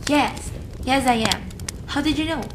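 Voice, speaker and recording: A woman's voice: high-pitched, measured pace, very noisy. An actress playing an emotional, easily wounded woman in a radio play. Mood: subdued, gentle. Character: bitter, hurt young woman, later gentle middle-aged daughter.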